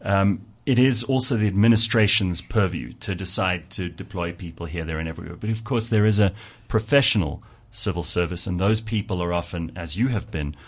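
A man's voice: low voice, a little fast, hardly any pauses. A man hosting an interview, chatty and teasing, often repeating words as he builds his questions. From South Africa. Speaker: talk show host. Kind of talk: conversational interview.

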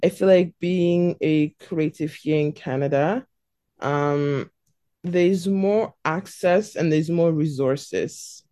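Guest in her twenties from South Africa, warm and thoughtful, close and dry. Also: low voice, takes it slowly, no echo. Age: 20s. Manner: thoughtful, searching for words. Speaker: stylist and guest. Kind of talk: interview answers.

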